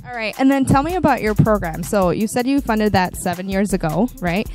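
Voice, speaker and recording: A young adult woman hosting a studio interview, asking questions in a bright, encouraging way on a handheld mic. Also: medium pitch, expressive, soft background music. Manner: bright, encouraging, quick follow-ups. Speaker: interviewer, show host. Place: small studio in front of a logo backdrop.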